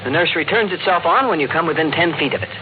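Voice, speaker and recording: Eager male salesman acted by a man, high and swooping voice, emphatic patter, tinny vintage radio. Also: lively intonation, quick pace, background noise, close to an everyday voice. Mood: eager, boastful. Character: slick, eager salesman pitching an automatic nursery. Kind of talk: 1950s radio acting.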